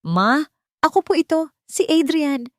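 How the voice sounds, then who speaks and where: A woman's voice: sits high, expressive, a little slow, no echo, clean studio sound, pitched above the performer's own voice. Woman acting an emphatic, stern young rich man. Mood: pleading, shaken. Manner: emphatic, commanding, emotional. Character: stern, wealthy young man, easily angered son.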